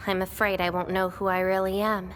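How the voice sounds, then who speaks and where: A woman voicing an aloof young female swordfighter in a video game, teasing and quietly melancholy, with hesitant pauses. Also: mid-range voice, level tone, a little hiss or hum, lightly acted. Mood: gentle, reflective.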